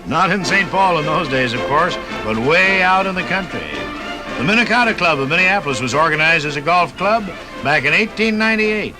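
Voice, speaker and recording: Higher-pitched American radio announcer, very lively. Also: male voice, a little fast, rough recording. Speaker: radio host and sponsor announcer. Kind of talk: scripted 1950s radio narration. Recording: 1950s radio broadcast recording, music.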